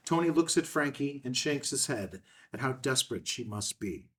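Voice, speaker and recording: A man's voice: medium pitch, lively intonation, moderate pace. Distant-miked man reading screenplay directions. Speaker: narrator reading stage directions. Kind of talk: scripted screenplay narration.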